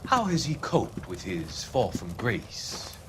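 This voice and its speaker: An actor playing a smooth, evasive, quietly menacing psychiatrist in a dramatic video game, with a courteous, deliberate, patronizing manner. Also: male voice, on the low side, very animated, noisy background. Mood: genial, probing. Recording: background music behind the voice.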